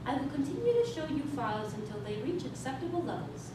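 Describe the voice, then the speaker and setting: Echoey, high-pitched computer voice played by a woman. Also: very animated, fast. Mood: impassive, insistent. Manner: formal, procedural announcements. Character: impassive female space station computer voice assistant. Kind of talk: staged reading acting. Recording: very echoey distant room, noisy rumble.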